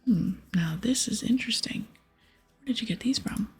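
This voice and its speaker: A woman voicing a stern, busy aged wizard from a game in a formal, measured ASMR whisper. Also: fairly high pitch, expressive, faint music behind. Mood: grave, measured. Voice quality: breathy whisper.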